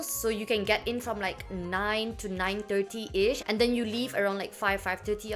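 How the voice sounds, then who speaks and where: A young woman talking casually to camera about her work experience, filling her speech with "like" and "um". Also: mid-range voice. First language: not French. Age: young adult. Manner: chatty, many "like" fillers. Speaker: vlogger.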